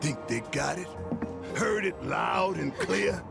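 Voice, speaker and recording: An actor playing a gruff, defiant male rebel leader in a video game, with a gravelly voice and a confrontational, mocking manner. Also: high voice, expressive, slow pace, full character voice. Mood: menacing. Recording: game audio with loud music behind.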